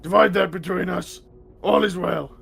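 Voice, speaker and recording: A man voicing a stern, pompous lord in a fantasy tabletop game, declaiming commands with a gravelly, rough voice. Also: high voice, moderate pace, a bit bright, full character voice. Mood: self-assured.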